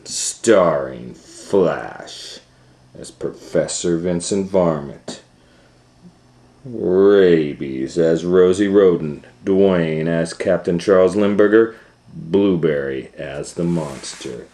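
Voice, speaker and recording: A man hosting storytime, reading a children's story aloud in a theatrical way with dramatic pauses and swells. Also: low voice, dark tone. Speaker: storytime reader and host. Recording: roomy, echoing sound.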